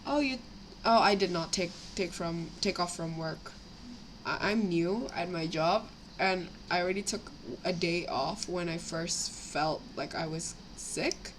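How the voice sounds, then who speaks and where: A young woman with a slightly raspy voice from a cough, rambling casually as she chats on a live stream while building models. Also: on the low side, measured pace, rough recording. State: sick with a cough, itchy throat. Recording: clear background noise, home livestream.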